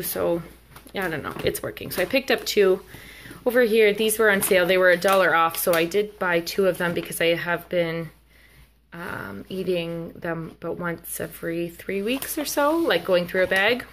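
A young woman chats in an unscripted vlog style. Her voice sits a little low, and she rambles hesitantly from thought to thought. The handheld home recording has noticeable background noise. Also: normal speed. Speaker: vlogger. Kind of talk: casual grocery haul narration, unscripted. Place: indoors at home. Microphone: handheld camera, held by speaker. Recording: clear background noise, handling clicks.

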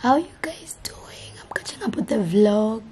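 A young woman from Kenya with a Kenyan accent, chatting to camera in a casual vlog, hoarse with a sore throat and switching between English and Swahili. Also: a little high, big pitch swings, moderate pace, a little mellow. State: hoarse, losing her voice. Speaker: vlogger. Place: indoors. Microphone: camera mic held close.

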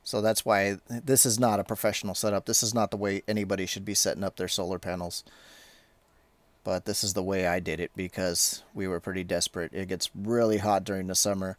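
A man with a fairly low voice hosts a casual, hands-on tech update. He talks informally and haltingly, rambling a little as he goes. The sound is dry and close, as from a handheld camera. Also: little pitch movement, dry recording. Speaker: tech video maker, host.

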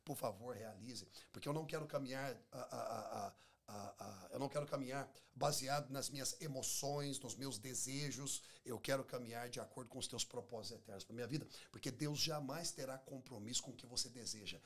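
Man, forties, Brazilian accent, mid-pitched, husky edge, emphatic preacher-like conversation, repetition for effect, close studio mic. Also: fairly quick, fairly bright tone. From Minas Gerais, Brazil. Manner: emphatic, repeats phrases for effect, acts out dialogue. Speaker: pastor, podcast guest. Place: small podcast studio. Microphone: close studio microphone on table stand.

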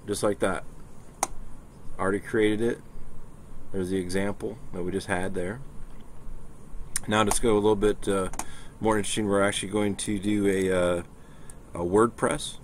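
Low-voiced man over background noise. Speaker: software tutorial presenter. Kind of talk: casual screen-share walkthrough. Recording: clear steady background noise.

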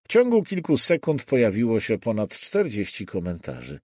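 A man reading a thriller audiobook as scripted dramatic narration, deliberate and suspenseful in his delivery. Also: lowish voice, wildly swooping pitch, a little mellow, husky, no room sound, studio quality.

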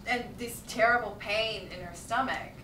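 A woman's voice: normal speed, natural voice. A woman playing a distraught, defensive mother in denial, brittle and emphatic, in a staged drama reading. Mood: uneasy, evasive. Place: theatre stage, indoors. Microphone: far camera. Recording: distant, echoey stage, faint rumble.

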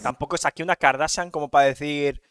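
A man's voice: a little high, fairly even intonation, fast talker, a bit bright, clean tone, dry recording, studio quality. A young man on a headset mic, reacting to a dating show with teasing, sarcastic commentary. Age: young adult. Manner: teasing, sarcastic, laughs often. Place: indoor room.

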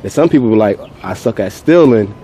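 Low, mellow male street interviewer. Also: animated, brisk. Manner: informal, echoes answers, frequent "yeah". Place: outdoors on a city street, off camera. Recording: outdoor street noise, passing vehicles.

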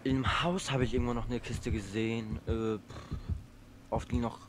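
A man's voice: medium pitch, moderate pace. Young guy streaming a horror game, jumpy and swearing a lot, faint hiss behind. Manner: excitable, swearing, yelps when chased. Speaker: gamer co-op player. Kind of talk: casual let's play chat.

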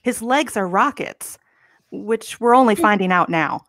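A woman's voice: medium pitch, animated, unhurried, fairly bright tone, dry recording. A woman narrating stage directions and bantering casually at a live table read over a video call. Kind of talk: deliberate script reading and casual banter. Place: small room at home, on a video call.

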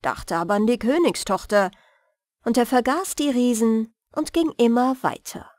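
A woman reading a fairy tale as a bedtime audiobook, in a measured, soothing manner. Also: fairly high pitch, lively intonation, darkish tone, no echo, studio quality. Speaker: storyteller and audiobook reader.

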